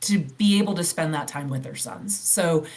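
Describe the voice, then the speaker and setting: A woman hosting a podcast interview, speaking in an encouraging and measured way as she asks questions. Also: low-pitched, a little mellow, a little hiss or hum. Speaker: podcast host. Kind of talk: interview questions and casual chat.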